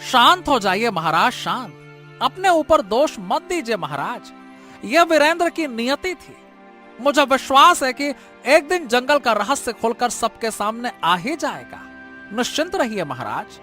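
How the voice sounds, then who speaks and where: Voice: medium pitch, big pitch swings, unhurried, pushed and bright, faint music behind. A performer playing a deferential male royal minister in a cartoon story, earnest, soothing and emphatic. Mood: consoling.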